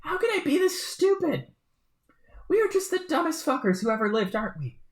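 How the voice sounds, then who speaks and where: This is a short-fused young troll who snaps and swears at everyone, put on by a woman reading a comic aloud. The voice leaps high and loud with each outburst. A roomy echo surrounds it. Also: big pitch swings, brisk. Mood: furious ranting. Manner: shouting, ranting, swearing. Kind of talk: fan reading of a webcomic.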